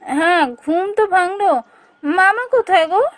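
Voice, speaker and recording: A woman voicing a curious, eager young relative who narrates and acts in an animated ghost story, expressive and inquisitive. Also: very high voice, moderate pace, dry recording. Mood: casual, affectionate.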